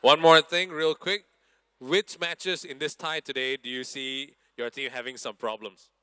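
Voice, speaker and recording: A man's voice: medium pitch, fairly even intonation, a little slow, pushed and bright, clear, resonant tone, no room sound. A man hosting a pre-match interview at a sports event as presenter.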